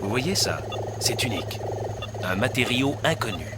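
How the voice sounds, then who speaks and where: Actor as a courteous scientist, noisy audio. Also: male voice, medium pitch, big pitch swings, moderate pace, close to an everyday voice. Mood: smooth, persuasive. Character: courteous, persuasive male scientist, a doctor, recounting mysteries. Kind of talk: dubbed video game cutscene acting.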